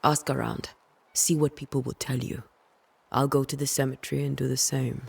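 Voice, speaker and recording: A woman playing a composed, resolute ghost hunter in a dramatic video game, measured and matter-of-fact. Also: a bass voice, level tone, creaky, slight background noise, heavily acted.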